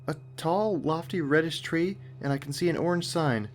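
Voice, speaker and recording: Man voicing a high-pitched, eager young woman. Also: normal speed, a little hiss or hum, natural voice. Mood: unsure, questioning. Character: eager young woman on a walkie-talkie, bold, oblivious. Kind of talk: acted dialogue in a horror story reading.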